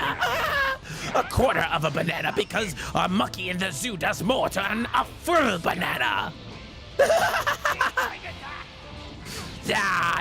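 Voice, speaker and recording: A man's voice: a little high, voice pushed up. A man voicing a vengeful, mocking alien parasite villain in a theatrical, cackling video game style. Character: gloating vengeful alien parasite villain, sneering at monkey foes. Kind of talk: live improvised video game villain dubbing. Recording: loud game music behind.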